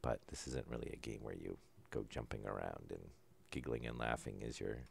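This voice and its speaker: A man calmly talking through a survival game as he plays, low-key and unhurried, with no put-on enthusiasm. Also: gravelly, a little hiss or hum. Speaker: gameplay commentator. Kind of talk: casual let's-play commentary.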